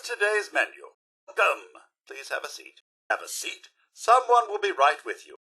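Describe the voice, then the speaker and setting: A man's voice: sits high, very animated, a little slow, pushed and bright, slightly rough, full character voice. A man voicing a fussy, polite robot butler for a video game, with a British accent and prim, theatrical, formal phrasing. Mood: polite, dry. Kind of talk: video game character voice lines.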